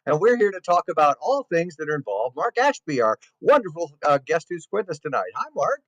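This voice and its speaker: A man's voice: fairly high pitch, big pitch swings, a little fast, fairly bright tone, dry recording, low-quality recording. A man hosting an interview show, chatting in a friendly way and praising his guest. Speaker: show host. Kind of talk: casual interview chat.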